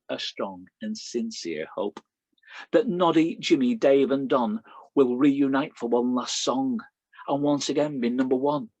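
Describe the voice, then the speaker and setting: A man's voice: medium pitch, breathy edge, no room sound, dull audio. A middle-aged man with a Lancashire accent reading his poems aloud, with chatty asides and fillers between them. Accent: Lancashire English. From Lancashire, England. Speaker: poet. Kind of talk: poetry reading with spoken introductions.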